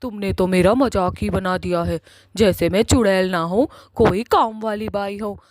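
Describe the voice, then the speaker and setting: Bossy comic-horror witch, played by a woman: irate, threatening, emphatic, mid-pitched, recorded very dry. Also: normal speed, darkish tone, no room sound, natural voice. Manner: scolding, emphatic, repeats words for menace. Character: angry, foul-mouthed village witch, bossy and threatening. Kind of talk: Hindi cartoon horror-comedy acting.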